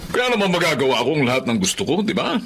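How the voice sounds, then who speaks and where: A man's voice: a little high, sing-song, quick pace, a bit bright, a little hiss or hum. A man playing a callous, pushy landlord in a Filipino radio drama, wheedling and dismissive as he prods for agreement. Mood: self-justifying. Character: pushy, callous male landlord demanding higher rent.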